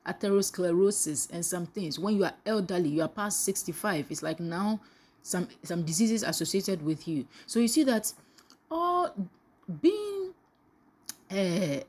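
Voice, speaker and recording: Husky-voiced woman preaching a devotional. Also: medium pitch, expressive, a little slow, a little mellow. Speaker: preacher leading a daily devotional. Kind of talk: live devotional sermon.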